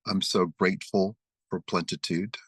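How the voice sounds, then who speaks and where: A man leading a guided meditation and prayer, speaking slowly and soothingly as he leads spoken affirmations. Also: low-pitched, steady tone, fairly bright tone, breathy edge, dry recording. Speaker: spiritual meditation leader.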